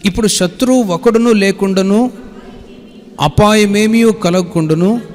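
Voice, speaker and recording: High-voiced man preaching in a hall. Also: a little slow, darkish tone. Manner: didactic, repeating phrases and citing verses. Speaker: pastor. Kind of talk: sermon with scripture reading and explanation. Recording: hall with long reverb.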